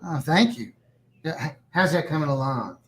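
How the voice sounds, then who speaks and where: A man's voice: on the high side, expressive, normal speed, lightly acted. A man playing a chatty, genial small-town official in a sitcom table read, rambling in a folksy way and repeating himself. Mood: friendly chatty.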